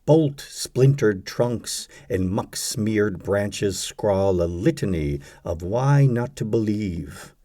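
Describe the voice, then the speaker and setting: A man narrating a poetry audiobook, reciting scripted verse in a measured, deliberate way. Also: fairly low pitch, lively intonation, mellow, dry recording.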